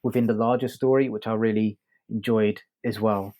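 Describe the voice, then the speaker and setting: A man's voice: lowish voice, fairly even intonation, moderate pace, dark tone. A man hosting a podcast, talking through a review in a casual, hesitant, circling way.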